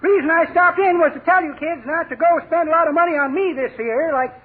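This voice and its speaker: A man's voice: fast, narrow, tinny audio. An actor playing a garrulous old man who tells corny tall tales, in a drawling, cackling old voice for 1940s radio comedy. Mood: folksy. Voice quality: cackling, wheezy high old voice. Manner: drawling, mishears words on purpose. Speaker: neighbor visitor. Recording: scratchy 1940s radio broadcast, loud studio audience.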